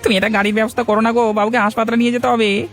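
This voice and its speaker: A humble, fretful village man voiced by a man with an extremely high-pitched voice. He talks plainly and earnestly in a cartoon, faint music underneath. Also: flat delivery, racing, a bit bright. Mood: anxious. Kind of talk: cartoon dialogue.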